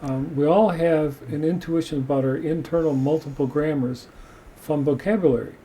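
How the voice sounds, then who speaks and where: A man hosting a podcast, reading passages from a book aloud and discussing them in a measured way. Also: on the high side, steady tone, mellow, slight background noise, poor audio. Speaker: podcast host.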